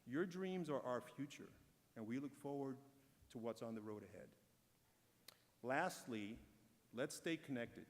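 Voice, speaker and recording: A man delivers a measured, encouraging prepared speech at an awards ceremony. His voice sits at an ordinary pitch. A long reverb trails each phrase through the large hall. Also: animated. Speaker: corporate speaker at an awards ceremony.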